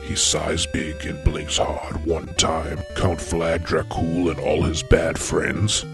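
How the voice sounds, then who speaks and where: A man narrating a comic audio drama as a storyteller, with a deadpan mock-epic delivery. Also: extremely low pitch, slow, creaky. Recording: dramatic music behind the voice.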